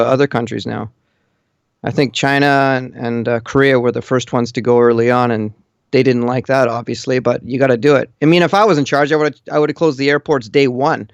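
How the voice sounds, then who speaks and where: Over a dull, dry internet call, a man speaks in a gravelly, fry-heavy voice. He chats casually as a caller, giving blunt, matter-of-fact opinions. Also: medium pitch, dry recording. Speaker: caller living in Japan. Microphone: online voice call.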